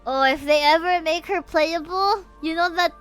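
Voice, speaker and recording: A woman with a Filipino accent doing casual livestream game commentary in a gushing, excitable manner. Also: extremely high pitch, normal speed. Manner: gushing, excitable, reads game dialogue aloud. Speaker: VTuber livestreamer. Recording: game music behind the voice. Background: game music.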